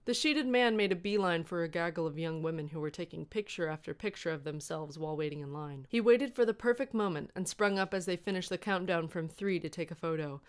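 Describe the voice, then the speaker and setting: A woman with a fairly low voice reads a scripted story aloud. She works as the narrator of comic fan fiction, delivering prepared prose. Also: brisk, hardly any pauses, smooth.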